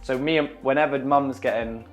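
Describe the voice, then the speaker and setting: A young man with a husky voice chats casually while he cooks. He teases and laughs easily, and his talk stays loose and playful. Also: medium pitch, steady tone, a little fast, music in the background. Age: young adult. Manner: jokey and teasing, laughs often. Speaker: vlogger cooking with his mum. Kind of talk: casual chat while cooking.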